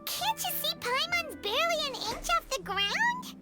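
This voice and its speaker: Tiny floating fairy sidekick: childlike, excitable, piercingly high video game voice. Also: female voice, normal speed, over music. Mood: content, whiny. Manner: refers to self in third person, excitable. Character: tiny floating childlike fairy companion, chirpy and greedy for food.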